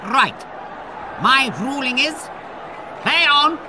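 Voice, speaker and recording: An actor playing a vague, hesitant referee in a comic fantasy audio drama. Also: male voice, very high-pitched, expressive, extremely slow, heavily acted. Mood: flustered. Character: dithering, indifferent male fantasy referee. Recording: crowd and outdoor match noise.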